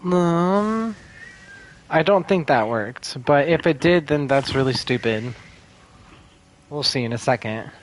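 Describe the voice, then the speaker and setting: A woman's voice: sits low, animated, slow pace, long pauses, a little hiss or hum. A woman giving casual, off-the-cuff commentary as she streams a game.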